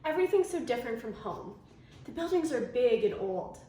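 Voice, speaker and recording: Excitable young storybook boy read by a woman, expressive, animated pitch, strong room echo. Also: brisk. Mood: excited, amazed. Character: young Inuit-town boy, excitable, easily daunted, earnest. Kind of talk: children's storybook read-aloud. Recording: very echoey room, faint noise.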